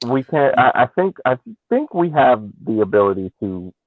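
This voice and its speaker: A man on a sports podcast panel, speaking in a measured, cautious way over a lo-fi connection. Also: medium pitch, very animated, no echo. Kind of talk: casual sports discussion. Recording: dull, lo-fi voice channel.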